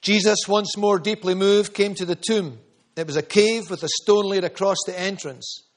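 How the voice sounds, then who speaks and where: Male preacher, high-pitched, earnest storyteller. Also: fairly even intonation, normal speed. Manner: earnest, storytelling, repeats phrases for emphasis. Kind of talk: sermon.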